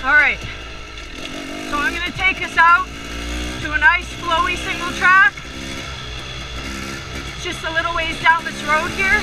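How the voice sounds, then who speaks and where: A woman's voice: extremely high pitch, wildly swooping pitch, measured pace, long pauses. A young woman giving upbeat riding tips while out on a forest trail on a dirt bike, recorded on a helmet camera mic. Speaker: motovlogger riding instructor.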